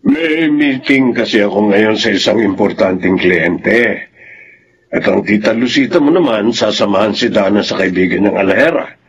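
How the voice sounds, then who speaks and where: A man's voice: fairly low pitch, normal speed, slightly rough, dull audio. A man playing a genial middle-aged businessman uncle in a Filipino radio drama.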